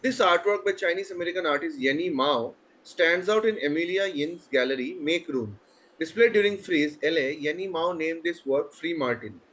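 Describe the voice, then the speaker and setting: A man's voice: fairly high pitch, normal speed, a bit bright. A man with an Indian English accent hosting an online quiz, reading questions steadily and chatting between answers. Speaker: quizmaster.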